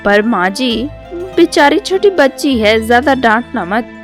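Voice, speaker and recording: A woman's voice: a little high, animated, unhurried, faint music behind. A woman voicing a sly, gossipy young daughter-in-law who feigns innocence in a storybook tale. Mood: sly tattling.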